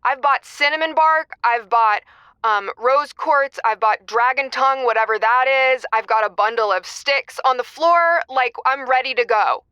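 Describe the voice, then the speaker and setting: A woman's voice: high voice, normal speed, sharp tone, clean tone, no echo. A woman acting as an earnest, stubborn, obsessive lovelorn caller in her thirties, talking casually with many fillers in a comedic audio drama. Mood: stubborn, intense. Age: 30s. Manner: filler-heavy millennial casual talk, stubborn. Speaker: caller to an advice show.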